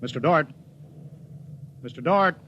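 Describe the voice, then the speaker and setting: Low male voice, wary starship captain, clipped commands, 1950s radio drama, dull old recording with music behind. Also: animated, measured pace, a bit bright. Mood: stern, commanding.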